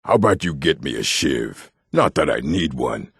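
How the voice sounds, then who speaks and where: Very deep, raspy, surly orc. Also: male voice, sing-song, moderate pace, a bit bright, no echo, clean studio sound, heavily acted. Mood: menacing, grudging. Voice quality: gravelly, raspy. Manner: curt, brusque. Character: surly male orc, blunt and domineering. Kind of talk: video game character acting.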